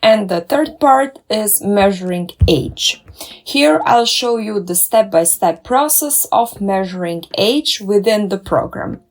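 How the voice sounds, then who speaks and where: A woman's voice: mid-range voice, animated, slow. A woman who works as a dentist, narrating a scripted step-by-step tutorial in an upbeat, careful way. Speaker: dentist and doctoral researcher.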